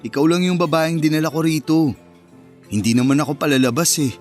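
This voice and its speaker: An actor playing an earnest, romantic young boyfriend in a Filipino radio romance drama, talking in a sincere, conversational way. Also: male voice, fairly high pitch, fairly quick, soft background music, natural voice. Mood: casual, sincere.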